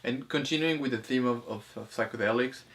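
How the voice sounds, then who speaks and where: A man talking casually as host of a discussion, haltingly, often repeating words and restarting his sentences. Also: mid-range voice, fairly bright tone, a little hiss or hum. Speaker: host of a spirituality film discussion. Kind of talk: casual conversational commentary.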